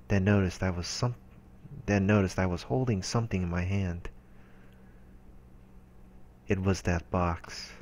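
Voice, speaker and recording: A man's voice: sits low, a little mellow, slight background noise. A man reading visual novel narration aloud as a let's player, in an earnest, dramatic storytelling way.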